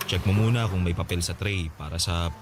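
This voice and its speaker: An actor playing a helpful, flirtatious office IT worker in his late twenties in a radio drama, talking in a friendly, gently coaxing way. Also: low-pitched, a little fast, noisy background, close to an everyday voice. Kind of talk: naturalistic radio drama acting. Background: music.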